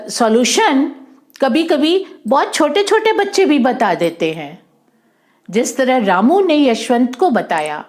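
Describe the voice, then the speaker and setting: A woman's voice: fairly high pitch, a little mellow. A woman telling a moral story to children informally, in an instructive way, repeating points and mixing in English words. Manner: didactic, repeats and rephrases, mixes in English words.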